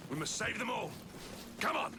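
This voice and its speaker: A centurion barking battle orders. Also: male voice, sits high, expressive, moderate pace, gravelly, very noisy. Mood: commanding. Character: Roman centurion leading men in battle. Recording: game audio with loud battle ambience.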